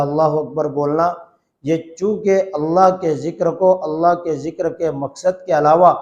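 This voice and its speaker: Higher-pitched man giving a religious lesson. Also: darkish tone. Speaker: Islamic cleric answering a religious question. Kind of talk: religious lesson, formal explanatory address.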